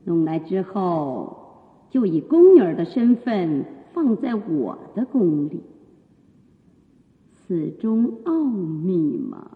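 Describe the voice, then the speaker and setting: A woman playing a haughty, jealous, scheming imperial consort in a period radio drama, with drawn-out theatrical delivery. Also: fairly low pitch, wildly swooping pitch, exaggerated voice. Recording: muffled phone-like band, echoing hall reverb.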